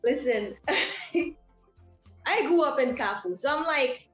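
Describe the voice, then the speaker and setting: A bubbly young woman with a thick Haitian accent, speaking a bit high and full of "you know"s as a guest on a music interview. Her voice sounds dull and roomy, with faint music underneath. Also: expressive, slow. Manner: bubbly, upbeat, full of fillers. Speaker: guest singer. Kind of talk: interview conversation.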